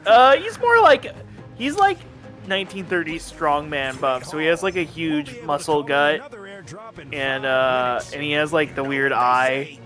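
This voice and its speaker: A man bantering casually as co-host, jokey and self-deprecating with quick asides. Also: on the high side, animated, a bit bright, faint music behind. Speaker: co-host of a gaming stream.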